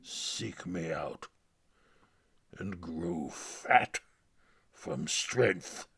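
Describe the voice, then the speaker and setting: A man voicing an imperious, grandiose alien emperor, pompous and husky, in a dramatic game lore reading. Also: sits low, extremely slow, a bit bright, heavily acted. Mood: commanding. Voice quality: gravelly, husky. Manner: pompous, ponderous, breathy.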